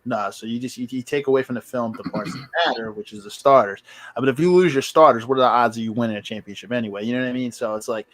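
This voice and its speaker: A man's voice: mid-range voice, fast. A man hosting a podcast, chatting casually about football with his guests as friends. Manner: relaxed, talks to the guests as friends. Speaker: podcast host. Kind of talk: casual sports chat.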